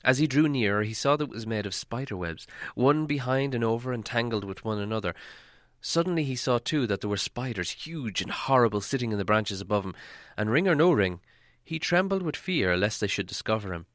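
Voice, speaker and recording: A man narrating a fantasy audiobook as dramatic storytelling, theatrical, with deliberate pauses. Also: medium pitch, animated, dry recording, clean studio sound.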